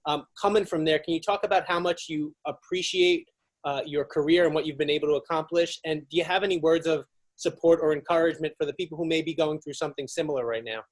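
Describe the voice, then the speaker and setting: A man with a fairly high voice asks questions as a reporter. His delivery is very quick, in press conference style. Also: steady tone.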